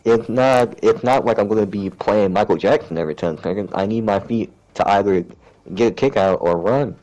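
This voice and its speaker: A soft-spoken man with a deep, gravelly voice, chatting in a rambling, hesitant way full of fillers and restarts. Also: moderate pace, poor audio. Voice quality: deep, gravelly voice kept raised. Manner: soft-spoken, self-described shy. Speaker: gamer chatting on stream. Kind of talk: casual chat.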